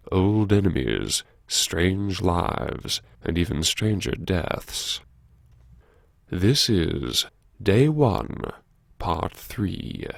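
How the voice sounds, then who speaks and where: A man narrating an audiobook in the first person, dramatically, with a brooding, wry and deliberate delivery. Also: low voice, vocal fry, no room sound, studio quality.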